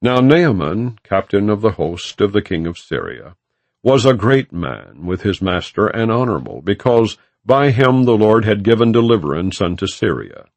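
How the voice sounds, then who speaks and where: A man's voice: lowish voice, dry recording. A man narrating an audiobook of scripture in a solemn, formal, declamatory reading style.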